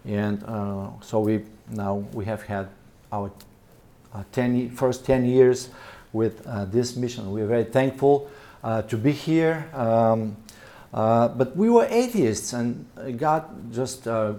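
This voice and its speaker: Hesitant Bulgarian man with a mellow voice. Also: medium pitch, expressive, takes it slowly. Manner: hesitant, many fillers and repeated words. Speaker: missionary and church planter speaking at a seminar. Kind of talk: seminar presentation. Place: seminar room with an audience.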